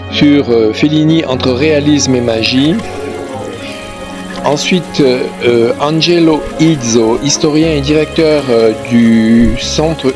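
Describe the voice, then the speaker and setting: A man hosting the piece runs through a day's program step by step, with many fillers between items. His voice is mid-pitched, and clear music plays behind him. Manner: listing, with fillers. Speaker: host and speaker. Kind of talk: interview and event summary.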